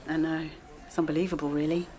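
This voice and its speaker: A woman's voice: low-pitched, level tone, fast talker, mellow, slight background noise, close to an everyday voice, rough recording. An actress playing a reserved middle-aged English mother in a naturalistic audio drama, subdued and guarded. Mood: polite, guarded. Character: reserved teacher, mother of a teenage son.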